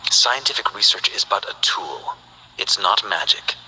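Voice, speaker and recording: An actor voicing a precise, calm young male scientist in a game, speaking in a measured, formal way. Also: lowish voice, slight background noise, exaggerated voice, rough recording. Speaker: game character. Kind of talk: game voice lines.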